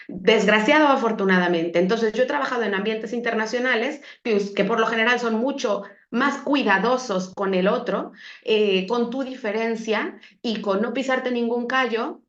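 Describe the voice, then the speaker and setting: A middle-aged woman with a Mexican accent speaks as an academic presenter. Her voice sits somewhat high, and her delivery is reflective and conversational, with self-corrections along the way. The recording is echoey and a little dull, as if muffled in a room.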